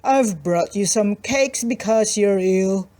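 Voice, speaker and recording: A woman doing the gruff, sly big bad wolf. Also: medium pitch, takes it slowly, mellow, lightly acted. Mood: disguised. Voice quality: gruff, falsely polite.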